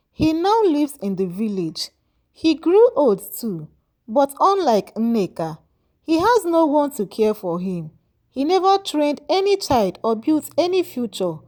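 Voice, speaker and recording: Female folktale narrator: measured and moralizing, unhurried, mellow tone. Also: sits high. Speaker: storyteller.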